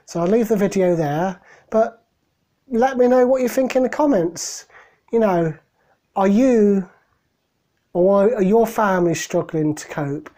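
Hoarse, halting middle-aged man. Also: high voice, mellow. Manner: halting, emotional, many restarts. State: hoarse from a cold. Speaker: vlogger. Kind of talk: personal video diary. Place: small room at home, indoors. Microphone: webcam at desk.

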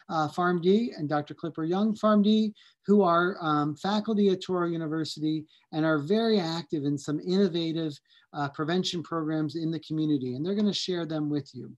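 A middle-aged man, a physician, welcoming people to a community health webinar over a video call, friendly and unhurried with frequent fillers. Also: on the high side, highs cut off. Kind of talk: opening remarks and introductions.

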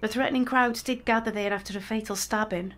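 Woman acting devoted young mother, gentle, candid, proud, period radio drama. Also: fairly high pitch, steady tone, quick pace, natural voice. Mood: polite, candid.

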